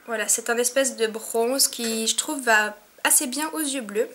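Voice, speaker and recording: A woman's voice: a little high, a little slow, pushed and bright, a little hiss or hum. A young woman with a cold giving a chatty makeup tutorial at home, with many fillers. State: has a cold, sniffling. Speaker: beauty vlogger. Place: at home, in front of a mirror.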